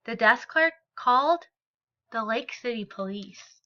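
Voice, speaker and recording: A woman reads a picture book aloud for young listeners. Her voice is bright and fairly high, and she phrases each line expressively and deliberately. The recording is a little dull and lo-fi. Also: expressive. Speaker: read-aloud storyteller.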